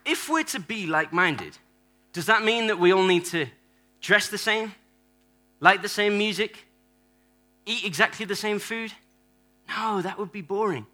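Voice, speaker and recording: Bright-voiced animated preacher man. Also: sits high, a little slow, pauses often. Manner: animated, playful, acts out church members. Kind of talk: sermon with audience props. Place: church hall.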